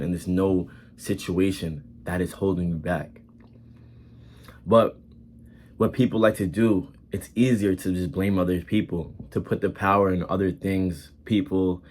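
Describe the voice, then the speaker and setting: A man's voice: deep voice, steady tone, moderate pace, a little mellow. A man in his twenties giving casual, earnest motivational advice, repeating himself and using casual slang. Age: 20s. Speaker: vlogger giving life advice.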